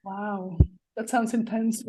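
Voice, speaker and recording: Slow-spoken woman podcast host. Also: medium pitch, steady tone, dark tone, rough recording. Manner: upbeat, laughs often, slow careful intro. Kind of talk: casual interview chat.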